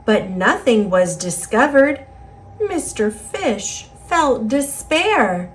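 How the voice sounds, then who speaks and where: A woman with an American accent reading a children's picture book aloud in an expressive, singsong rhyming delivery. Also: a little high, slow, poor audio. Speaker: storybook reader.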